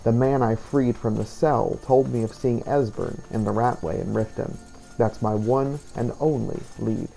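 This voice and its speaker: A man narrating a game playthrough as a scripted first-person story, in a measured storytelling delivery. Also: mid-range voice, creaky, dark tone, soft background music, poor audio.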